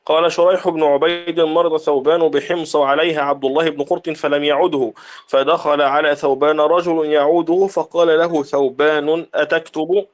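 A man reading a classical Arabic text aloud to his teacher, in steady recitation of reports and narrator chains. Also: fairly high pitch, fairly quick.

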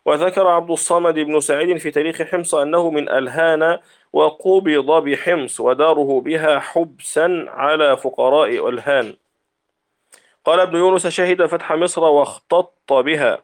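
Male student reader, slightly high voice, steady classical recitation for a sheikh. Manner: steady recitation of narrator chains and reports. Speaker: student reading a text aloud to a sheikh. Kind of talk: reading classical text aloud in a lesson.

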